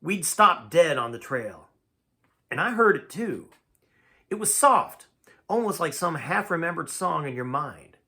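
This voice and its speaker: A man's voice: on the high side, sing-song, unhurried, bright, forceful tone. A man reading a first-person tale aloud in a dramatic, folksy storytelling manner. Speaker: amateur audiobook reader.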